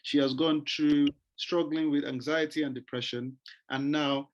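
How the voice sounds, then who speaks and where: A man's voice: a little high, fairly even intonation, unhurried, dull audio. Upbeat male interview host. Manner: upbeat, repeats himself, rephrases questions. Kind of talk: interview show hosting.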